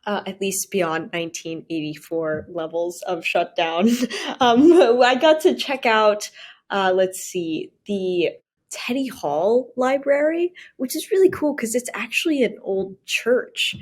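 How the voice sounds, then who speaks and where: A woman's voice: medium pitch, lively intonation, slow. A young woman chatting casually as a podcast guest, with thoughtful, wry and slightly wordy phrasing. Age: young adult. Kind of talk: casual chat.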